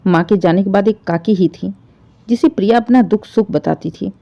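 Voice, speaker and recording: A woman's voice: medium pitch, normal speed, gravelly. Female storyteller for sentimental family drama narration, mid-range voice, slightly dull sound.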